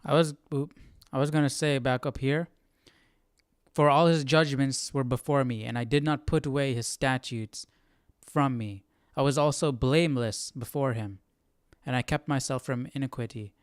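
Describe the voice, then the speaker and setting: A man's voice: medium pitch, fairly even intonation, moderate pace, clean tone, no echo, studio quality. A man hosting a Bible study, informally thinking aloud and reading passages.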